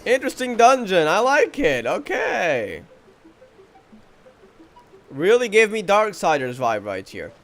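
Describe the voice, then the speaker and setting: A man's voice: high voice, big pitch swings, slow, pauses often. A man giving casual game commentary as he plays, thinking aloud in short reactions. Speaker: gameplay streamer.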